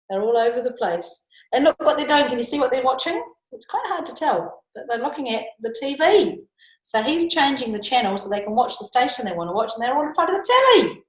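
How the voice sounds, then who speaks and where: Echoey New Zealand woman reading to children. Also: on the high side. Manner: animated, playful, asks listeners questions; often chuckles as she talks. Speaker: school teacher reading picture books to children. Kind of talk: read-aloud storytime, talking children through a picture book. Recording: echoey, roomy home-style recording.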